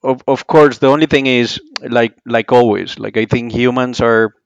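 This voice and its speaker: A man from Colombia with a Colombian accent, speaking English as a second language in a casual interview, halting with many restarts. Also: mid-range voice, steady tone, vocal fry, smooth, no room sound, lo-fi sound. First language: Spanish. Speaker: podcast guest.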